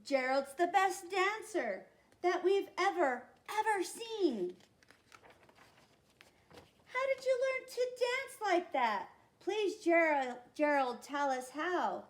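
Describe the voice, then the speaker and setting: A woman voicing excitable jungle animals in a children's storybook, exclaiming and drawing out her words. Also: very high-pitched, voice pushed up, heavily acted. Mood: amazed, excited. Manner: exclaiming, drawn-out taunts and cries. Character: jeering crowd of jungle animals, loud and excitable.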